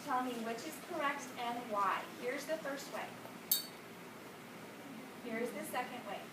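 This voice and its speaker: A high-pitched woman teaching a music class, quizzing the children and drawing out their answers. She is picked up from across a reverberant classroom. A loud hiss of noise runs under her voice. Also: measured pace, recorded from a distance. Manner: questioning, coaxing children to answer. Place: school classroom.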